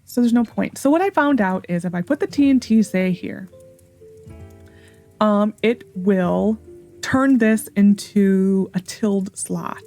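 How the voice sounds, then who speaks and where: A young woman narrates her gameplay in a hoarse, worn voice, wandering between thoughts with exasperated sarcasm. Her tone is dark and mellow, sitting at an ordinary pitch. Faint background music runs under her words. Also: slow pace. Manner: rambling, frustrated and sarcastic about the game. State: hoarse, sore voice. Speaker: gaming YouTuber. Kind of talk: casual gameplay commentary.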